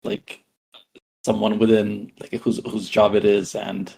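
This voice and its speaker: A man discussing a tabletop role-playing game as a player, casual and improvising, with a halting, tentative way of talking. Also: lowish voice, monotone, gravelly, dark tone, husky, low-quality recording.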